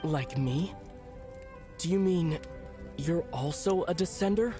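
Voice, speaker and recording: Casting: young adventurer hero for a game, lightish pitch, slow earnest delivery, music behind. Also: male voice, mellow. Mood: surprised, curious. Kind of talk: dramatic game voice acting.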